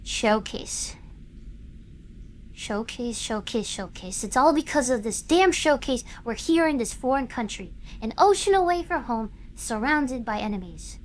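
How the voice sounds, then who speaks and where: A woman's voice: a little high, lively intonation, measured pace, slight background noise. A woman playing a proud, vengeful young female internet star in a dramatic audio drama, speaking in an imperious, bitter, theatrical way. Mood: bitter, sarcastic. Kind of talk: dramatic acted monologue, fan audio drama.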